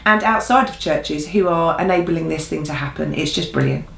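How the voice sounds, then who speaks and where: A woman's voice: low-pitched, normal speed, gravelly, fairly bright tone. A woman co-hosting an informal church news update in an earnest, encouraging way. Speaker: church newsreel co-host. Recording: echoey room sound.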